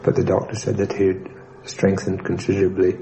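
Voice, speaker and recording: A man, a former airman, giving a measured, formally worded recollection of the war in a documentary interview. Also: sits low, monotone, mellow, poor audio. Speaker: former RAF airman recalling his war experience. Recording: faintly hissy 1960s radio recording.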